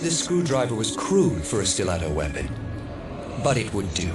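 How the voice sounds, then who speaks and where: A haunted, defiant storyteller in an audio drama. His lowish voice is deliberate and brooding, set back from the mic amid clear background noise. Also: big pitch swings, far from the mic. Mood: grim recollection. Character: haunted, defiant man with psychic powers, recounting his past.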